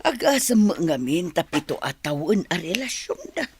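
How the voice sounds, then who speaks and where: A woman playing a worried, nagging middle-aged mother in a radio drama, with a husky voice and a Filipino accent. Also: lowish voice, very animated, normal speed, slight background noise.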